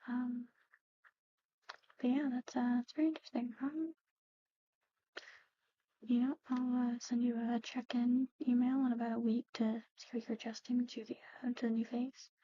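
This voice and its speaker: A woman doing an ASMR roleplay, speaking softly and in whispers, with a hesitant, halting, gently conversational manner. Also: a little high, little pitch movement, no echo, dull audio.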